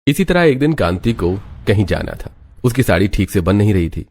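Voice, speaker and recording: A man's voice: lowish voice, very animated, fairly quick, darkish tone, no echo. A man reading scripted narration for a cartoon family story.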